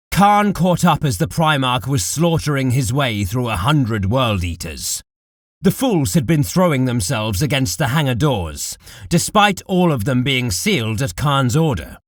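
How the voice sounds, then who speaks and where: Slow, dramatic male narrator, lively pitch. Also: dry recording, clean studio sound. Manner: deliberate, dramatic. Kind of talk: dramatic scripted audiobook narration of dark war fiction.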